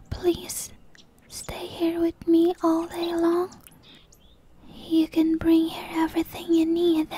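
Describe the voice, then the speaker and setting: A woman voicing a shy, innocent young tree dryad in a hesitant, breathy ASMR roleplay whisper. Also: very high-pitched, dark tone, full character voice. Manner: hesitant, halting, pleading.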